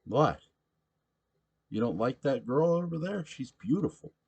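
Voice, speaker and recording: A man voicing a gruff, pushy drunk middle-aged man at a bar in a read-aloud story. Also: medium pitch, big pitch swings, a little slow, darkish tone, pitched above the performer's own voice, exaggerated voice. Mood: belligerent.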